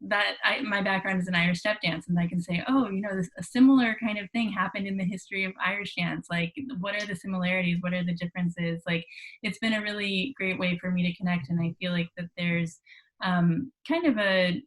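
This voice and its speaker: A woman's voice: on the low side, fairly even intonation, fairly quick, low-quality recording. A woman moderating an online workshop panel, chatty with lots of fillers, speaking over a video call. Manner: chatty, many fillers and restarts. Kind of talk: casual panel discussion.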